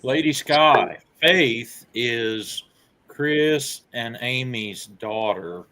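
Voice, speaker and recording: An American man from Oklahoma hosts a casual chat. He talks slowly in a mid-pitched voice. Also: lively intonation, pushed and bright. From Oklahoma, United States.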